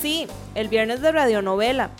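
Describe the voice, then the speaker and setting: A woman announces a scripted radio promo. Her voice is fairly high, with music clearly playing behind it. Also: expressive, normal speed.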